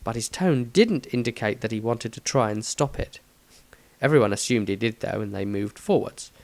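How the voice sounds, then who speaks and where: A man's voice: lowish voice, expressive, moderate pace, creaky, a little mellow, no room sound. Close, dry-sounding male storyteller. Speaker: author and narrator. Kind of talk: scripted storybook narration.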